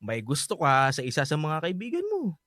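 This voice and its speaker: A man's voice: fairly high pitch, wildly swooping pitch, normal speed, fairly bright tone, dry recording, clean studio sound. A young man with a Filipino accent acting an evasive, teasing teenage best friend in a radio drama. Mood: teasing, smug.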